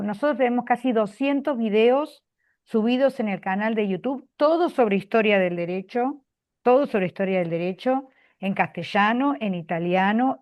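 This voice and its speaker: A woman's voice: medium pitch, dull audio. An Argentine woman hosting a video call, talking chattily and dropping frequent "eh" fillers, speaking from a public office. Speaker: host. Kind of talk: video call closing remarks.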